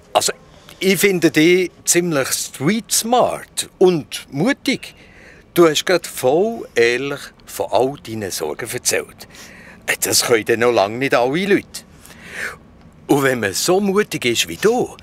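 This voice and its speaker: A friendly Swiss German policeman with a very animated, swooping delivery. He is jovial and encouraging with children, slipping into casual slang, in the manner of a radio play. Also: medium pitch, unhurried. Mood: reassuring. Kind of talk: children's radio drama acting.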